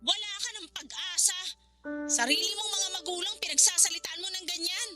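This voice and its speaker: An extremely high-pitched young Filipino woman in a radio drama, with music clearly behind her. She is earnest and emotional, pleading for her family and scolding with reproach. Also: brisk. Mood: angry, reproachful. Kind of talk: Tagalog radio drama acting.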